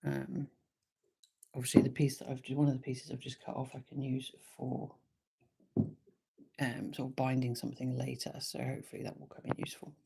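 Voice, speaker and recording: A woman with a slightly croaky voice, talking through a live craft tutorial in an easygoing, chatty way. Her voice sits low and has a gravelly fry. Its tone is dark and husky. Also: completely flat delivery, a little fast, pauses often. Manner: chatty, easygoing, thinks aloud with frequent ums. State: slightly croaky, sore throat.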